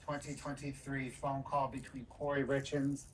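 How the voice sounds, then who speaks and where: A man's voice: mid-range voice, completely flat delivery, moderate pace. A man speaking as a prosecutor, formally addressing the court and questioning a witness. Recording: noisy courtroom audio, distant mic.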